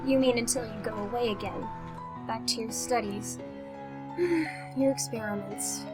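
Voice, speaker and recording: A woman's voice: a little high, a little slow, over music, natural voice. A woman playing a devoted, tender young fiancee in a melodramatic old-time radio style. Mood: adoring.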